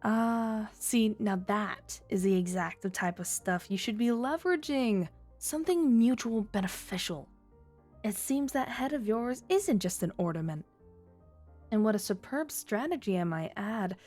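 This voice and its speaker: A woman's voice: mid-range voice, lively intonation, a little slow, darkish tone. Woman acting a flirty, teasing underworld schemer over music. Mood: sly, teasing. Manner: teasing, coy, mock-sweet purring. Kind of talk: flirty ASMR roleplay audio drama.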